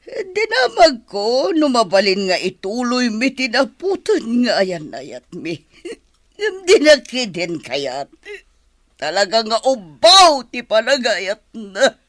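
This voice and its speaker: A spurned, lovelorn madam in a radio soap. She speaks slowly and her pitch swings widely with feeling. The sound is dry and close. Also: sing-song, a bit bright, no echo, full character voice. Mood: tearful, wounded. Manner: melodramatic, drawn-out delivery. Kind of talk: radio drama acting.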